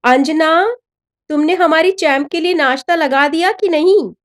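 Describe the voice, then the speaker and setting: A woman's voice: sits high, measured pace, voice pushed up. A woman narrator voicing an affectionate, encouraging middle-aged father in a story. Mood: calling out. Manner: gentle, encouraging.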